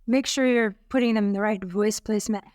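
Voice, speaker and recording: A woman's voice: mid-range voice, fairly even intonation, fairly quick, a little mellow, dry recording, clean studio sound. A woman in her fifties co-hosting a casual podcast, giving encouraging, rambling advice. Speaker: podcast co-host. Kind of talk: casual chat.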